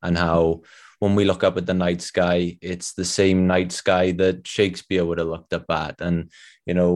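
Deep, flat-voiced Welsh podcast host. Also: male voice. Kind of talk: casual interview questions.

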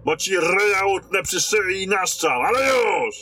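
A man playing a gruff, commanding and foul-mouthed knight lieutenant in a fantasy radio drama. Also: sits high, expressive, measured pace, bright, forceful tone, heavily acted. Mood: furious, scornful. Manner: brusque, commanding.

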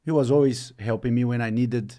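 Brazilian-born man, halting interview answers. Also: mid-range voice, fairly even intonation, warm tone. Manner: halting, searching for words, many fillers. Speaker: retiring football player, guest.

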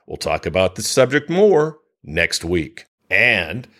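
A man's voice: low-pitched, sing-song, slow, sharp tone. A man reading scripted advice to listeners as a podcast host. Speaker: podcast host giving a business tip.

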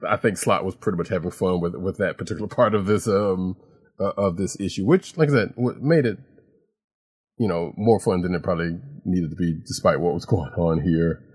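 A man with a very low, husky voice co-hosting a comics podcast. He rambles, backs up and restarts as he works through his thoughts on an issue. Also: a little mellow. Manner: rambling, halting, many restarts. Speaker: podcast co-host. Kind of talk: casual comic book review chat.

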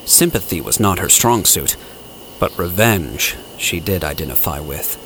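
Low-voiced man narrating an audio drama. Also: animated, unhurried, a bit bright, slight background noise.

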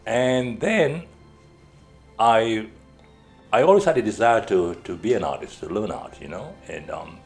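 An older man from Trinidad, talking as an interview guest in a halting way, repeating words and laughing often. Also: fairly low pitch, expressive, gravelly, faint music behind. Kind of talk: casual interview talk.

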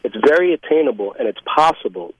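A man's voice: mid-range voice, big pitch swings, fast talker, husky, dry recording. A man from the South Bronx in New York giving conversational, encouraging, earnest answers as a guest over a phone line. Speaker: interview guest, media executive. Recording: tinny narrowband phone line.

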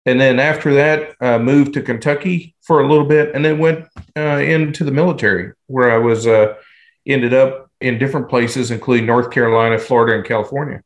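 American man in his fifties, medium pitch. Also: steady tone, fairly quick. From southern Indiana, United States. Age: 50s. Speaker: podcast guest, training director and researcher. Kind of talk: conversational interview answers.

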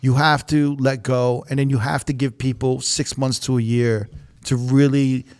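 A man's voice: mid-range voice, flat delivery, normal speed, darkish tone, smooth. Young man, podcast host, loose profane chat, filler-heavy. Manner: loose, profane, lots of "like" and "you know".

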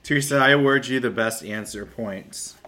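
A man's voice: mid-range voice, moderate pace, heavy background noise. A man, a priest co-hosting a casual podcast, with a playful, joking way of talking.

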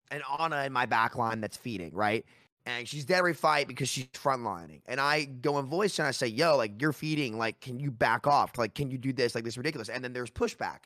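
A man's voice: medium pitch, fairly bright tone, no echo, studio quality. A young man in a casual panel discussion, laid-back and rambling, with lots of fillers and "right?" asides. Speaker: panel guest.

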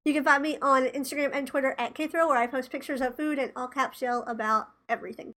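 A woman's voice: high-pitched, steady tone, fast, sharp tone. A woman in her twenties, a podcast co-host, talking in casual chat, sounding nasal and congested from a cold. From Tennessee, USA. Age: 20s.

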